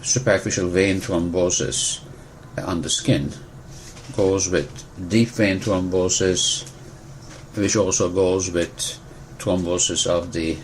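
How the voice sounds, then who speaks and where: A man explains medical matters in measured, careful sentences. He often stops to correct and rephrase himself for precision, and a faint hiss sits under his words. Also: low voice, steady tone, poor audio. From Turkey. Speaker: physician, disease expert. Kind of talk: explanatory medical interview answers.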